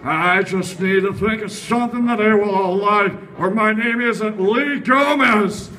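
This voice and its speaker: Man acting scheming young factory worker, high pitch, theatrical drawl, comic horror story, faint music. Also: bright, forceful tone. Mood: defiant, scheming. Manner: theatrical, drawn-out delivery. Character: scheming, gleefully mad young male chocolate factory worker.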